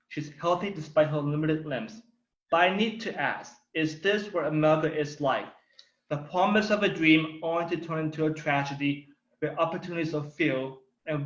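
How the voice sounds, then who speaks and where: A young man with Thai as his first language, speaking slightly high, tells his own story as a testimony speech. He is earnest and emphatic, drawing out the words he wants to land. The recording is roomy and a little dull. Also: a bit bright. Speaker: community speaker.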